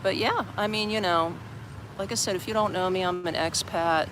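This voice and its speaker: A man's voice: high voice, steady tone, normal speed. An American man from Florida chatting casually to live-stream viewers from a city park, rambling and greeting people as they join. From Orlando, Florida, USA. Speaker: expat livestreamer. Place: outdoors on steps in a busy city park. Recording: noisy outdoor city street. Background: street and traffic noise.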